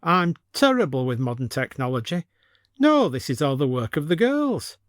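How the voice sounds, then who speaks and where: A man's voice: fairly high pitch, very animated, normal speed, no room sound, studio quality. A man voicing a genteel, cosy elderly village woman in an audio story, sweetly chiding and proud. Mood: fond, proud. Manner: genteel, cosy, indignant when crossed.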